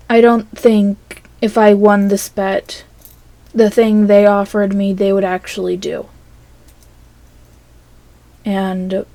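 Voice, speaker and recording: A woman recording a casual, rambling audio log monologue in a hesitant, halting way that trails off between phrases. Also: medium pitch, warm tone, no echo.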